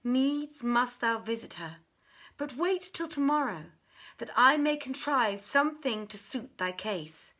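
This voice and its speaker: Woman as a slow-spoken, scheming devoted mother. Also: fairly high pitch, lively intonation, fairly bright tone. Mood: resolute. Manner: archaic, deliberate, formal phrasing. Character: anxious, scheming old-world mother, devoted and formal.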